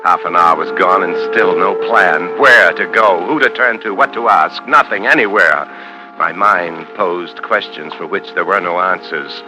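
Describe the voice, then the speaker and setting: An actor playing an ambitious, tormented, possessive husband in a 1950s radio suspense drama, with brooding, intense, clipped delivery. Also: male voice, deep voice, unhurried, poor audio. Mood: brooding, anguished. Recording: old 1950s radio recording with music.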